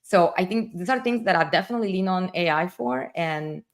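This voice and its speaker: Chatty host with a Spanish accent. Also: female voice, fairly low pitch, a little fast, vocal fry. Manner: enthusiastic, chatty, frequent fillers. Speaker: livestream host. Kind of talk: casual livestream conversation.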